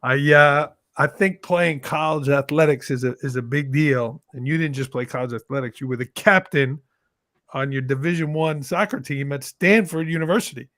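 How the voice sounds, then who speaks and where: A man's voice: on the high side, moderate pace, fairly bright tone, no echo, studio quality. A man hosting a podcast interview, upbeat and full of praise for his guest. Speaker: podcast host.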